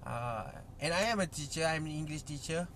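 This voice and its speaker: A young Malaysian man, a native Malay speaker, hosting a casual solo podcast in English, halting with many fillers and restarts. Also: fairly high pitch, lively intonation, fairly bright tone, slight background noise. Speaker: podcast host.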